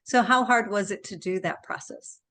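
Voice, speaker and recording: A woman hosting a casual interview chat, asking guests brisk questions. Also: medium pitch, a bit bright. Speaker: host.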